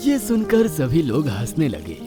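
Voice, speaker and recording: A man narrating a scripted children's story in an expressive storytelling style. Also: a little high, unhurried. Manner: expressive, animated. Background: background music.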